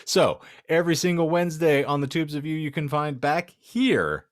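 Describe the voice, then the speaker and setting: A man's voice: fairly high pitch, lively intonation, moderate pace, dry recording, studio quality. A man hosting a podcast in casual banter, joking with mock-grand announcer phrasing. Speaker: podcast host.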